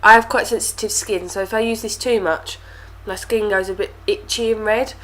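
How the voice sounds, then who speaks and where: A woman's voice: medium pitch, steady tone, moderate pace, a bit bright, poor audio. A young woman reviewing beauty products to camera, talking casually and sounding stuffy from a cold. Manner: chatty, with lots of fillers and repeated words. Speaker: beauty vlogger.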